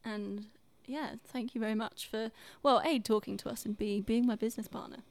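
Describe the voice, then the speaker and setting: A woman's voice: a little high, steady tone, brisk. A woman co-hosting a podcast in casual chat, with an informal, bantering manner. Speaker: podcast co-host.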